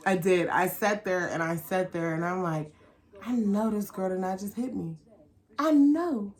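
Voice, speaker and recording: A woman with a mid-pitched voice tells a personal story as a vlogger. She talks casually and candidly, in loose slang. She slips into animated re-enactments of what people said. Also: a little slow.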